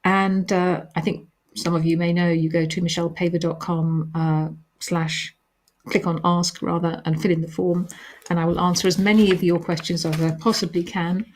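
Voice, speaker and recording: Calm, low-voiced woman answering questions. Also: normal speed. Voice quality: calming voice. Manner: reads chat questions aloud, then answers with gentle hesitations. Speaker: author hosting a live reader Q&A. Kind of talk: live-stream question and answer.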